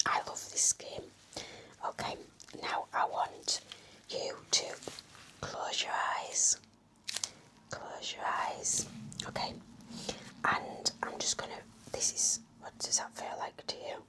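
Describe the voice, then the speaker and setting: Slow, whispering woman doing nurse ASMR. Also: low-quality recording. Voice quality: breathy whisper. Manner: gentle, soothing, encouraging, attentive. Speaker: ASMR performer role-playing a nurse. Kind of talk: whispered ASMR medical exam roleplay.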